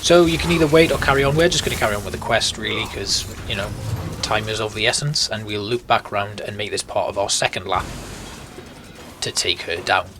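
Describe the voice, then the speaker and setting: A man's voice: lowish voice, fairly quick. Man guiding a game walkthrough, noisy backdrop. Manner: conversational, explanatory. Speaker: gaming video host, guide. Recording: clear background game noise. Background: game ambience.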